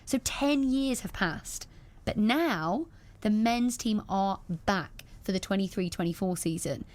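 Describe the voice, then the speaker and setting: A woman's voice: medium pitch, lively intonation, unhurried. A woman presenting a local radio sports interview, encouraging and enthusiastic as she talks with her guests.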